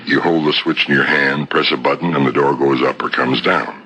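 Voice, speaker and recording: An actor playing a shrewd, relentless police detective who methodically lays out accusations in a 1970s radio mystery, on an old broadcast recording. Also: male voice, a bass voice, fairly even intonation, a little fast, pushed and bright, a little hiss or hum. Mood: methodical, probing. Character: shrewd, relentless male police detective laying out a murder case.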